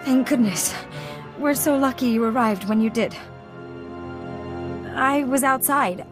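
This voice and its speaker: An anxious young woman who survived a disaster, from a video game. Her voice is high and full of care, with music clearly behind it. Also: measured pace. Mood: frightened. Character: frightened, caring young female bombing survivor. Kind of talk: dramatic video game voice acting.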